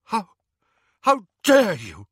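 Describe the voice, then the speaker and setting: A man's voice: mid-range voice, big pitch swings, slow, sharp tone, breathy edge, no echo, clean studio sound, full character voice. A man reading a storybook, voicing an anguished grown man who strains and pleads, then rises into anger. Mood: outraged.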